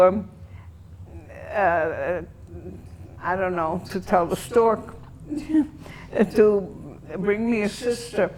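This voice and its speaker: An elderly woman from Antwerp whose first language is German, reminiscing in an interview and searching for her words with long pauses. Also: medium pitch, a little hiss or hum. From Antwerp, Belgium.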